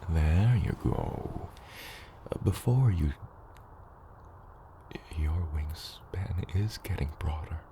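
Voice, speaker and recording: Male actor as dragon dad, rock-bottom pitch, unhurried delivery, patient mentor, gently teasing, partly whispery, ASMR roleplay. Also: background noise, full character voice. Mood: gentle, instructive. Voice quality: very deep, gravelly, partly whispery. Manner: slow, measured mentoring with hesitant asides. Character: patient, gently teasing adult dragon father teaching a hatchling. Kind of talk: ASMR roleplay, acted monologue to the listener.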